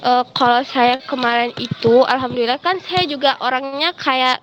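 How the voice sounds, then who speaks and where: High-pitched young woman. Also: steady tone, normal speed, a bit bright, dull audio, slight background noise. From Jambi, Indonesia. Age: early 20s. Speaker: student guest sharing exchange experience. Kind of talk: casual informative interview answers.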